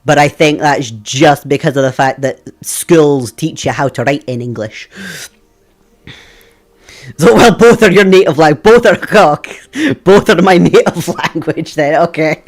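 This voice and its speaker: A man's voice: fairly high pitch, slow, long pauses. Chatty Scottish man streaming a game. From Scotland. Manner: chatty, laughs often, thinks aloud. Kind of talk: live game stream chat.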